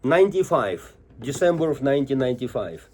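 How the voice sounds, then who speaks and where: A man's voice: mid-range voice, very animated, a little fast, darkish tone, slight background noise. A middle-aged man from Moscow with a Russian accent, telling stories casually and informally as an interview guest. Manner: informal, tells anecdotes with quoted dialogue. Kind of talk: casual conversational storytelling.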